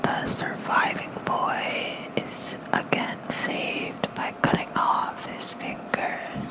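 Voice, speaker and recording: A hushed, breathy whisper reads bedtime lore in an ASMR style. The delivery is slow and gentle, spoken softly and close to a fluffy microphone. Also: rough recording. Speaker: ASMR creator reading aloud. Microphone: close microphone with fluffy windscreen.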